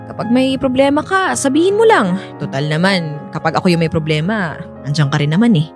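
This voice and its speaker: A woman playing a caring but firm high school teacher in a radio drama, speaking expressively. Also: brisk. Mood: gentle, caring. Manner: expressive, mixing Tagalog and English. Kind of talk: Filipino radio drama acting. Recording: music behind the voice.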